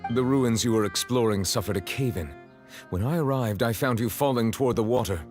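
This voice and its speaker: An actor playing a dignified, socially awkward gentleman in an anime-style game, speaking in a formal, measured, stiff manner. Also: mid-range voice, close to an everyday voice. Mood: grave, calm. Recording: game music behind the voice.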